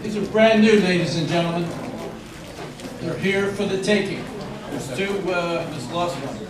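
A man's voice: sits high, moderate pace. An older man presiding over a formal ceremony, reading out names with some hesitant fillers. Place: large community hall. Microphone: handheld mic, far camera. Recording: echoey hall, distant crowd.

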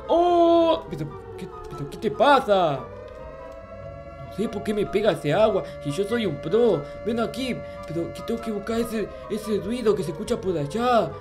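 Babyish noob game character, high voice, stammering, grumpy, easily flustered, music underneath. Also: male voice. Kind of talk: improvised Minecraft roleplay narration.

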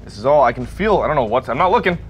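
A man's voice: mid-range voice, big pitch swings, rapid-fire. A man playing a tabletop game, speaking in character with strain as he describes an injury. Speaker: player.

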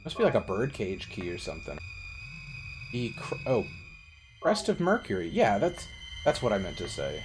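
Lively, cursing young streamer guy. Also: lowish voice, moderate pace, long pauses, over music. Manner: joking, profane, loud excited reactions. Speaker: game streamer. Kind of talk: casual live-stream commentary. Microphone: headset mic.

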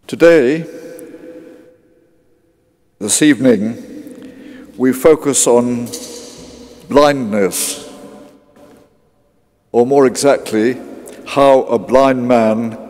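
A man preaching a sermon in a large cathedral, speaking in measured short phrases with deliberate pauses. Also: medium pitch, a little mellow. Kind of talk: sermon in a church service. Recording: cavernous cathedral reverb.

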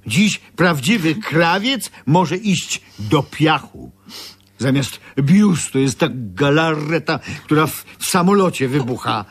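An actor playing an aggrieved, stubborn old-fashioned tailor in a radio play, with rambling complaints and stammered repeats. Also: male voice, a little high, expressive, slow pace, slightly rough, heavily acted. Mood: indignant grumbling. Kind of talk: Polish radio play acting.

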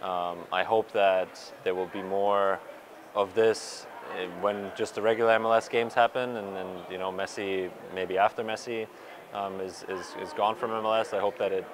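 Low, flat-voiced young man in a postgame scrum. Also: moderate pace, noisy background. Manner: hesitant, many fillers and restarts. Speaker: pro soccer player. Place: stadium media area in front of sponsor backdrop. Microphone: reporters' handheld mics and recorders held close.

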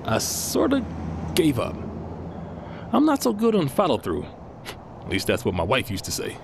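A man's voice: medium pitch, huge pitch swings, normal speed, gravelly, noisy background. An actor playing a blunt, sardonic, down-on-his-luck man who wants revenge, in an audio drama. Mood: sheepish, sardonic. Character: Eddie, crude, down-on-his-luck jobless man seeking revenge. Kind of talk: naturalistic audio drama acting.

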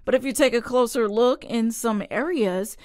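Bright-voiced young woman news reporter. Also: fairly high pitch, no echo, studio quality. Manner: clear, measured newsreader delivery.

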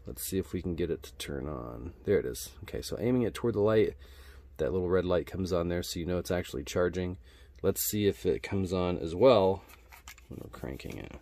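A man's voice: deep voice, animated, fairly quick, creaky, warm tone. A man doing a casual, laid-back unboxing narration as a product reviewer, speaking in colloquial language. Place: indoors at a wooden workbench.

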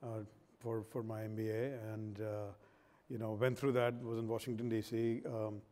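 A man's voice: lowish voice, level tone, moderate pace, dark tone. A man in his forties from India, speaking earnestly on a conference panel, with many fillers. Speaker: pharma executive panelist. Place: large conference stage. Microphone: lapel mic. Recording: long hall reverb.

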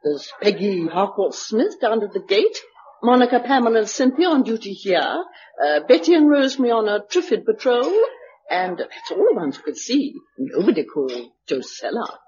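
An actress playing a prim, morally rigid community leader in a radio drama, with a brisk, officious, clipped manner. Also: female voice, medium pitch, sing-song, darkish tone, lo-fi sound, slight background noise, natural voice. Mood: curt.

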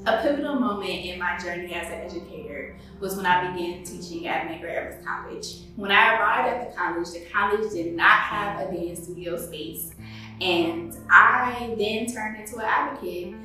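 A woman with a lowish voice tells her story as a dance professor. She is recorded in a very echoey space, away from the mic. Music plays clearly under her words. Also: moderate pace, sharp tone. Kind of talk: personal testimonial. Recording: very echoey, distant microphone.

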